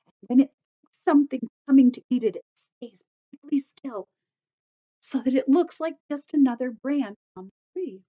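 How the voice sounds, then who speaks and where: A woman teacher giving a story-time lesson to young children, explaining in simple, deliberate phrases and repeating key points. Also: sits high, animated, warm tone, dull audio.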